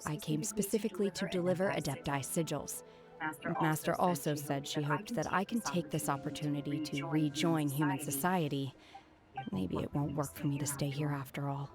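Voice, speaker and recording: A woman's voice: sits low, close to an everyday voice. An actress playing a reserved, earnest young woman raised apart from society in an anime game, speaking formally. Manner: formal, restrained. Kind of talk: anime video game voice acting. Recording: game audio over clear music.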